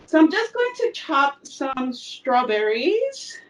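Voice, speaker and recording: A woman's voice: sits high, sing-song, slow pace, fairly bright tone, recorded from a distance, rough recording. A woman from El Salvador giving a casual cooking demonstration in her home kitchen, chatty with frequent fillers. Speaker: cooking instructor.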